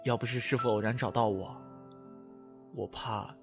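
An actor playing a brash, devoted young time-traveller in a Chinese costume audio drama, boyish, expressive and easily flustered. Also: male voice, mid-range voice, normal speed, music in the background, lightly acted. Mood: wistful.

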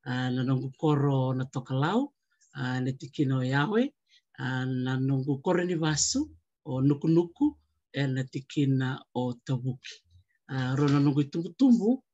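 A woman, giving a gracious, deliberate formal introduction as a panel guest. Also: very deep voice, a little mellow. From Fiji. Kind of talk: formal self-introduction and greeting. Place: indoors on a video call. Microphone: computer mic on video call. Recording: dull, muffled video-call audio.

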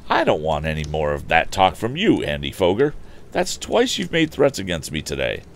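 A man's voice: mid-range voice, wildly swooping pitch, brisk, slight background noise, voice pushed up. A man voicing a plucky, determined young inventor, the hero of a storybook, in an earnest, firm manner.

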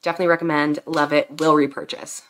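A woman's voice: sits low, little pitch movement, fast talker. A pregnant young woman in her twenties chatting casually about beauty products from her bedroom, congested and enthusiastic, rambling with fillers. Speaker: beauty vlogger. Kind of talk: casual product review chat. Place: bedroom at home, indoors.

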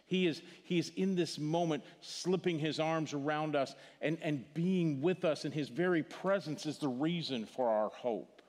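An older man from Missouri with a Missouri accent, preaching a funeral sermon in a church sanctuary, earnest, repeating words and dropping to a hush on key phrases. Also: a little high, normal speed, few pauses. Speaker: pastor. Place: large church sanctuary stage. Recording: long hall reverb from the church.

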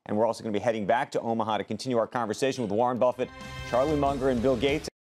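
A man anchoring TV business news, reading headlines quick and matter-of-fact. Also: mid-range voice, steady tone, a little mellow, soft background music. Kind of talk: breaking-news read.

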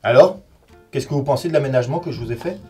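A man's voice: mid-range voice, fast, faint music behind, natural voice. An actor in his forties playing a short-tempered, sarcastic medieval warrior in a comedy sketch, recorded on a stone cellar set. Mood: bossy. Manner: mock-friendly, then sarcastic and insulting, shouting at times. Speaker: warrior who owns the wine cellar.

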